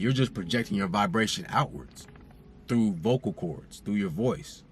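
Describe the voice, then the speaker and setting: A young man with a somewhat low voice talks straight to his viewers in a relaxed, conversational way, circling back and repeating phrases to drive a point home. Faint music plays underneath. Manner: laid-back, conversational, repeats himself for emphasis. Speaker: vlogger. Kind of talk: casual direct-to-camera vlog talk.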